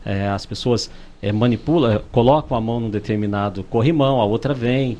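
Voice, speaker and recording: A man's voice: medium pitch, a little fast, warm tone. A Brazilian man co-hosting a live radio interview and asking the guest follow-up questions, with hesitations and repeated words. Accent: Brazilian Portuguese. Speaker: radio co-host.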